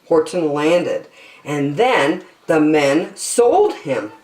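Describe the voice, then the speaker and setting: A woman storyteller reading a children's storybook expressively, with dramatic, deliberate phrasing. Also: sits low, big pitch swings, darkish tone. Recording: roomy echo.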